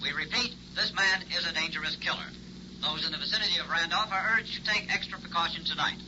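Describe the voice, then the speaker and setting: An urgent radio announcer whose bulletin comes through a set in the scene. His fairly high voice is formal and crisp over a clear background hiss, and the sound is muffled. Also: fast. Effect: radio speaker. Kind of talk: 1960s radio acting.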